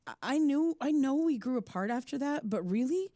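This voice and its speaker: A woman's voice: high-pitched, expressive, moderate pace, mellow, no echo, studio quality. An actress playing a troubled, haunted woman podcaster, earnest and hesitant, in a naturalistic audio drama. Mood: distressed, questioning.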